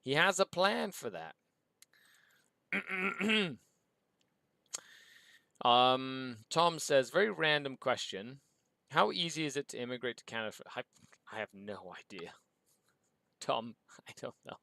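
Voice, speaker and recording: Lively English-accented man with a bright voice. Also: medium pitch, slow, gravelly, long pauses, dry recording. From Mexico. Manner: upbeat, reads viewer questions aloud then answers. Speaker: show host. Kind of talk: livestream Q&A answering chat.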